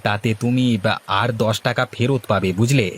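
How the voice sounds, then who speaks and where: Man doing a low-toned, obliging cartoon grocer. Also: steady tone, moderate pace, a little hiss or hum, close to an everyday voice. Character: obliging village grocer, male, calm and busy. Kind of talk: Bengali cartoon dialogue.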